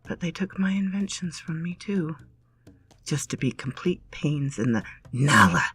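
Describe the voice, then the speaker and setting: Breathy, whispery woman storyteller. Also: low voice, animated, moderate pace, mellow, soft background music. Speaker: story narrator. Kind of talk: dramatic storybook reading.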